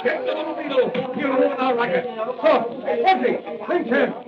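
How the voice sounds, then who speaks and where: An actor playing a bold masked crime fighter in an old radio adventure, declaiming taunts and threats. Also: male voice, very high voice, unhurried. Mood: bold, taunting. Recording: muffled radio recording under loud music.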